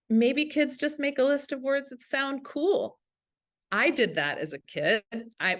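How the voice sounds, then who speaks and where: A woman's voice: mid-range voice, fairly bright tone. A woman teacher giving an instructional webinar talk to colleagues in an enthusiastic, informal way. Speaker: language teacher presenting a webinar.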